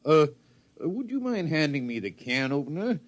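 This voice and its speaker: An actor playing a huge, nervous, food-loving scientist with fussy, hesitant, wordy speech in a 1950s radio drama. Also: male voice, a little high, very animated, normal speed. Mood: fussy, distracted. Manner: fussy, hesitant, pompous wordiness.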